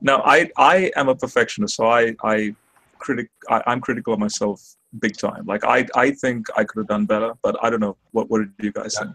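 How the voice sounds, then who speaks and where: A man's voice: on the low side, fairly quick, gravelly, fairly bright tone, dry recording, poor audio. An Australian man who took part in a debate, talking modestly over voice chat with many restarts. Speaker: debate participant. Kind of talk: casual voice-chat discussion. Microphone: online voice chat.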